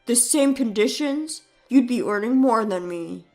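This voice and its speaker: A woman's voice: a little high, expressive, unhurried, darkish tone, soft background music. A woman voicing a defensive cheating husband in a scripted story, pleading and condescending, with emphatic delivery. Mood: indignant.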